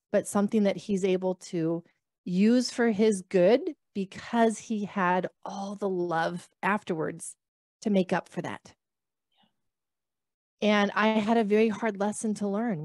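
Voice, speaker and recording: A woman's voice: medium pitch, a little mellow, no room sound, studio quality. A woman in her thirties from the northern United States, a physician guest telling her story with many fillers over a video call. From northern United States, near the Canadian border. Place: small room at home. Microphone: podcast mic on a video call.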